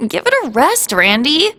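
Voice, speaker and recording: A woman's voice: high-pitched, sharp tone, long reverb tail, heavily acted. An actress playing a spirited, confident young female cadet in a video game cutscene. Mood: bashful, playful. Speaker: voice actor.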